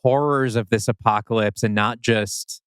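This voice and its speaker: Clear-voiced man in casual conversation. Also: on the low side, fairly even intonation, moderate pace, a bit bright, dry recording, clean studio sound. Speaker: podcast co-host. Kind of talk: casual chat about scriptwriting.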